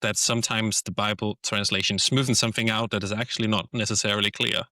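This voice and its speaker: A man's voice: fairly low pitch, flat delivery, fast talker, pushed and bright, dry recording, clean studio sound. A man with a Danish accent, a podcast host whose first language is Danish, talking through ideas thoughtfully in a casual conversation. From Denmark. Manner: thoughtful, restarts phrases while searching for words.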